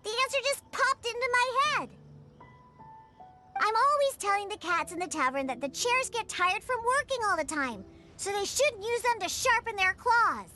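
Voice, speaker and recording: A woman's voice: very high voice, expressive, moderate pace, bright, forceful tone, exaggerated voice. A woman voicing a young, blunt, aloof girl in a video game, speaking matter-of-factly. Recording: music behind the voice.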